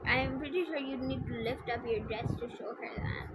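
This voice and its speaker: A woman's voice: sits high, moderate pace, background noise. A woman streamer giving casual gameplay commentary and reading dialogue aloud, blunt, joking and sweary. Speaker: game streamer. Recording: loud game music behind voice. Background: loud game music.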